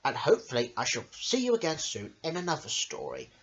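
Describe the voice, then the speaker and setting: A man reading a children's story as an amateur host, in an expressive, sing-song way. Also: fairly high pitch, fairly quick. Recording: dull lo-fi home recording.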